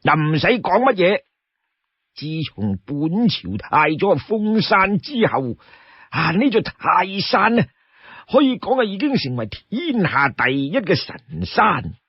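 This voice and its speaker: A man voicing an easygoing, money-minded old townsman in a Cantonese story, chatty and full of drawn-out interjections. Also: high-pitched, big pitch swings, slightly rough, no echo, muffled recording, voice pushed up, heavily acted. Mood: proud, chatty.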